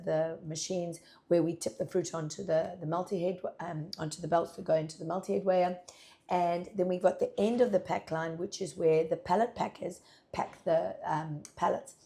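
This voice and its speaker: A husky, slightly croaky woman talks through a farm update in a chatty, upbeat way, with plenty of ums. Her voice sits a little low and sounds dark and mellow. She speaks from a pack house office. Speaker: farm labour manager. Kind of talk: casual informative vlog.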